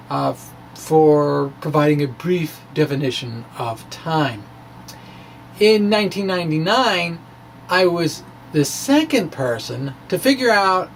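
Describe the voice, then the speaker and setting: A middle-aged physics blogger gives an informal dramatic reading, halting and emphatic, with trailing asides. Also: mid-range voice. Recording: background noise, ambient sound.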